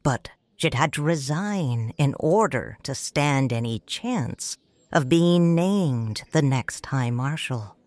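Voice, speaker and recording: A woman with a low voice reading a first-person story for an audiobook. She narrates slowly and tenderly, with a lively rise and fall in her lines. The sound is dry and close. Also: no room sound. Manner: slow, grave and tender.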